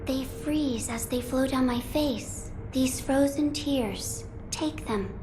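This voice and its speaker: A woman playing a serene, frail young holy maiden in a video game cutscene, speaking formally and gently. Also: high-pitched, over music, low-quality recording. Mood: gentle, solemn. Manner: formal, measured, gentle delivery.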